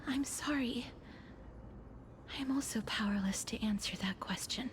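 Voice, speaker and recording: An actress voicing a lonely, solemn, millennium-old woman of great power in a soft, breathy, measured game performance. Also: fairly high pitch, close to an everyday voice. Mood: gentle sorrow. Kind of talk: dramatic video game voice acting. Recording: game audio with clear background noise.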